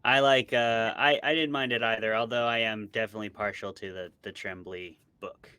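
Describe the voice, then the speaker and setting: Low, bright-voiced man chatting casually. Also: steady tone, brisk, clear, resonant tone, dry recording. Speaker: interview guest, horror author. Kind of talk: casual chat.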